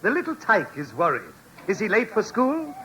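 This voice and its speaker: A man's voice: sits high, huge pitch swings, normal speed, sharp tone, full character voice, rough recording. A man playing a grandiose, sentimental movie narrator in a 1940s American radio comedy sketch. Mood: grandiose, dramatic. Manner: melodramatic, declamatory. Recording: vintage 1940s radio recording.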